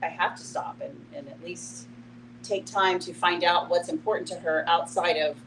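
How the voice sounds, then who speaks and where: Low-voiced middle-aged woman, noisy distant recording. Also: fairly even intonation, moderate pace. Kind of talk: casual group discussion. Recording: noisy room, distant mic, hum.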